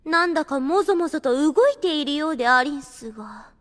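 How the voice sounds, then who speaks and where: A woman's voice: sits high, expressive, fairly quick, a bit bright. A woman playing a catty, vain young noblewoman who talks in affected archaic courtesan speech in an anime audio drama. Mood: curious, puzzled.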